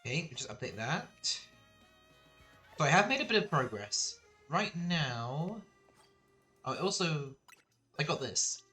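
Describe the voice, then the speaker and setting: Bright-voiced young male streamer. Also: on the high side, very animated, a little slow, long pauses, soft background music. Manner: rambling, self-deprecating, laughs often. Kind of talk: casual live game stream chat.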